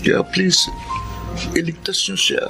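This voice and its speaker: A man's voice: sits high, little pitch movement, slow pace, vocal fry, sharp tone, full character voice. A male actor playing an emotional, earnest young man, pleading as a brother and son in a Filipino radio drama, with music behind him. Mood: desperate pleading.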